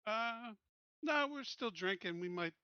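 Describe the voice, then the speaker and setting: Very bright, high-voiced man at game table. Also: lively intonation, unhurried, smooth, no echo, dull audio. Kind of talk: casual game-table chat.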